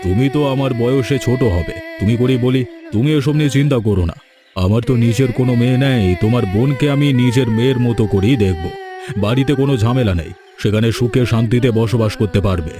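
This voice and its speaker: A man playing a middle-aged, fussy village father-in-law in a cartoon story, talkative and quick to scold. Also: medium pitch, over music, close to an everyday voice. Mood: polite, reassuring. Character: fussy older village father-in-law, easily swayed and irritable. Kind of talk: animated cartoon story dialogue.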